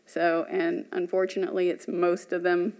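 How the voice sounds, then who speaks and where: A woman's voice: lowish voice, completely flat delivery, normal speed, a little mellow, clear, resonant tone. A woman homeowner with a light voice speaking at a public hearing, nervous and friendly, with "um" and "uh" fillers. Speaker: homeowner applicant. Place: large municipal meeting room. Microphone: podium microphone.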